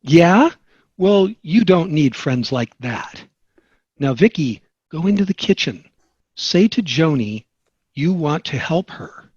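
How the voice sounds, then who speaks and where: A man playing a practical, coaxing suburban sitcom father at a table read, husky and animated, over a dull online-call sound. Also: fairly high pitch, slow, no echo, full character voice. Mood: firm, instructing.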